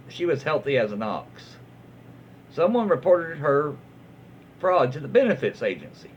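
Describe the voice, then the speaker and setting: A man with a Southern US accent reading stories aloud casually, with folksy, rambling asides and drawling slang. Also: medium pitch. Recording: dull lo-fi audio with faint noise.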